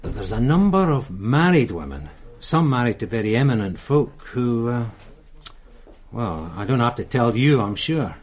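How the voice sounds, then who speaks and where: An actor playing a tired, methodical male police sergeant in a radio drama, speaking in a measured way. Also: mid-range voice, sing-song, darkish tone. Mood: weary.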